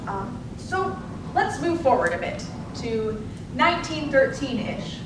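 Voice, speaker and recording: Woman doing a staged history interview, pretty high voice, distant mic, noisy room. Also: very animated, takes it slowly, heavy reverb. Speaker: interviewer in a theatre presentation. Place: indoor presentation space at a public library.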